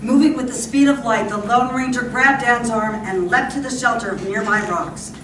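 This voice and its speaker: A woman's voice: fairly high pitch, normal speed. A woman giving dramatic scripted narration for an old-time radio play, performed live on stage. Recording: roomy, distant, very noisy live stage recording.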